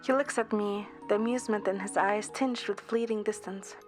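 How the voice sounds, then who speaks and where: A woman's voice: medium pitch, steady tone, normal speed. A woman reading scripted first-person story narration as an amateur narrator. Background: background music.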